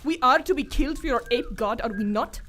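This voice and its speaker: Woman acting a proud, high-voiced captive adventuress. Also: a little fast, fairly bright tone. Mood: wary, insistent. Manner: formal, defiant. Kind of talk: live radio theater acting. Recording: live stage recording, faint background noise.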